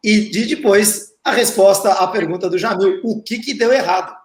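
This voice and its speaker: A man's voice: high voice, echoey room. A man co-hosting a panel discussion who jokes and steers the talk between guests. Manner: joking, brisk moderator who steers the talk. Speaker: co-host columnist.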